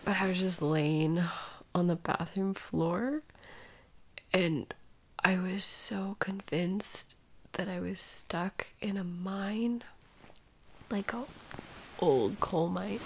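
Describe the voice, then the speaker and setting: A woman acting as a sick, sleepy girlfriend in an intimate audio roleplay. She talks very slowly and softly, with a crackly fry in her voice, halting and clingy as she seeks comfort. Some noise sits behind her voice. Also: fairly low pitch. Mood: sleepy, musing. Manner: soft, drowsy, halting, often whispery.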